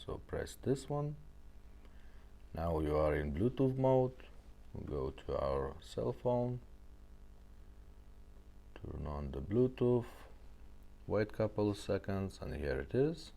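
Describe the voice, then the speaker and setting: A man's voice: sits low, big pitch swings, takes it slowly, vocal fry, pauses often, mellow. A man presenting a car radio demonstration in a casual style, speaking in short phrases and often checking in with the listener.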